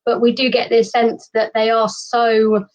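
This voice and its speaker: A woman's voice: on the high side, flat delivery, measured pace. A British woman hosting a casual online livestream chat over a video call. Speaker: host of an online fan convention. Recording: dull, lo-fi online call audio.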